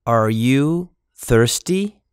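A man's voice: medium pitch, expressive, very slow, smooth, dry recording, clean studio sound. A man with a General American accent reading English phrases for learners, saying each one normally, then word by word. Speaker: English teacher. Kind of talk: slow language-drill reading.

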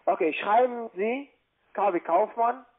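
A man with a high voice and a foreign accent works a cold call. He is pushy with his instructions, speaking in halting, broken phrases as a non-native speaker. The line is muffled and lo-fi. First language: not German. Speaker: cold-calling call-centre agent.

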